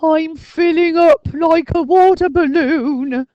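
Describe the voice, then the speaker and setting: Woman doing a slow, moaning little ghost. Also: very high-pitched, no echo, dull audio, voice pushed up.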